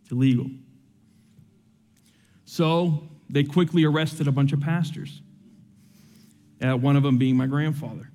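A man's voice: mid-range voice, fairly even intonation, unhurried, pauses often, warm tone, in a large hall. A young man born in Cuba, with no accent, preaching a sermon full of personal testimony in an earnest, emotional and conversational way.

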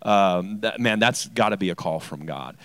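A male pastor giving an informal church introduction, speaking earnestly and haltingly and repeating phrases. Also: low voice, lively intonation, fairly bright tone, no echo.

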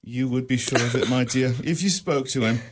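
An actor playing a gentle poor clerk and grieving father in a radio drama, soft and halting. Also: mid-range voice, noisy background, natural voice.

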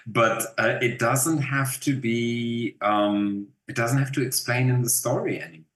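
A man's voice: mid-range voice, a little slow, a bit bright. A man in his fifties with a German accent, an actor chatting in an interview, rambling with fillers and restarts. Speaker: actor guest. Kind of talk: casual interview. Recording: roomy echo.

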